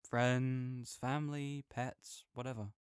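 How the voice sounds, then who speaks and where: A man acts out a caring, easygoing young male friend in an audio roleplay, talking straight to the listener. His voice is smooth and clear, at an ordinary male pitch, and he sounds gentle and reassuring with a light joking touch. The sound is dry and close to the mic. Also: little pitch movement, slow pace, dry recording, close to an everyday voice.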